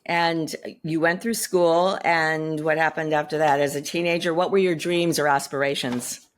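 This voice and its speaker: A woman hosting a radio show, reading a polished introduction and asking her guest questions in a measured way. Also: low voice, steady tone. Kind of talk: scripted introduction and interview questions.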